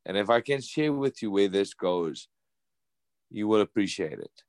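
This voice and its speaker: A man's voice: on the low side, expressive, normal speed, darkish tone, dry recording. A professional boxer in his thirties answering interview questions earnestly, often repeating and restarting words. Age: 30s.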